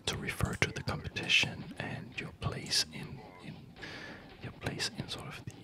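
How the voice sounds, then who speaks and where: A man speaking in a breathy ASMR whisper, explaining things casually in a halting, digressive way. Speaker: ASMR video host.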